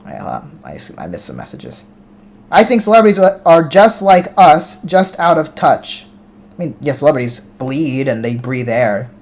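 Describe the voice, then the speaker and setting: High-voiced American man streaming live. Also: very animated, normal speed, gravelly, a little mellow. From United States. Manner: talks straight to viewers, reads comments aloud, restarts words. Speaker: livestream host reading chat. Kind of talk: casual livestream commentary.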